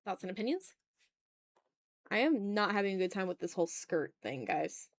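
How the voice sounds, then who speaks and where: Female art streamer from the American South, mid-pitched, loose chatty delivery with playful exasperation, very dry recording. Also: big pitch swings, normal speed, no echo. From Southern United States. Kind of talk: casual livestream chat while drawing.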